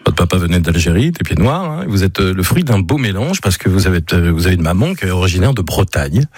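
The animated Frenchman you'd hear welcoming a guest on an evening radio show, chatty and keen over faint music. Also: low-pitched, fast, slightly rough, no echo, studio quality. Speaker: radio host. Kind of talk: live radio interview. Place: radio studio.

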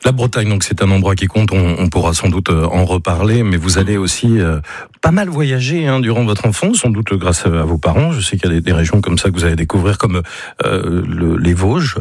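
A man with a slightly husky voice, hosting a chatty interview and guiding the guest. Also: deep voice, animated, fairly quick, darkish tone, no echo, clean studio sound. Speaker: interviewer, show host. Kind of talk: conversational biographical interview.

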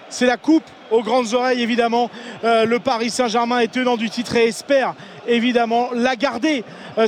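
A man files a live radio report from outside a stadium and pushes his voice to carry over the noise around him. His pitch sits very high, and a faint noise runs underneath. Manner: calls out over the crowd noise. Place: outdoors at a stadium.

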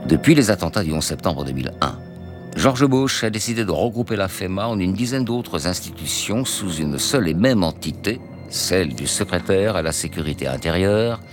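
A man narrating a documentary in a measured, serious tone. His voice is very deep. Music plays clearly behind him.

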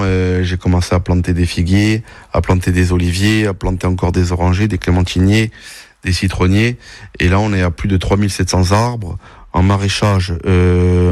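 A man's voice: low-pitched, darkish tone, no room sound. A young man from Corsica, a farmer, answering radio interview questions in a hesitant way with many fillers. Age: young adult.

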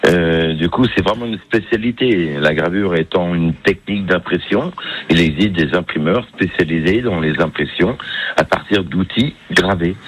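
A man interviewed on radio about his printing trade, speaking thoughtfully with frequent pauses and fillers. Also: low-pitched, poor audio. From Paris suburbs, France.

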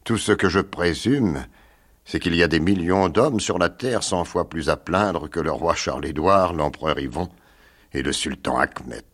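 A man voicing Martin, a gloomy, skeptical older philosopher, in measured, doubtful tones in a storybook reading. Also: deep voice, a bit bright, breathy edge.

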